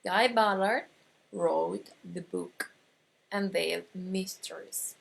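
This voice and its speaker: A woman from Argentina with an Argentine Spanish accent and Spanish as her first language, teaching an online course with slow explanations, frequent pauses and restarts. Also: lowish voice, gravelly, low-quality recording. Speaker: metaphysics teacher.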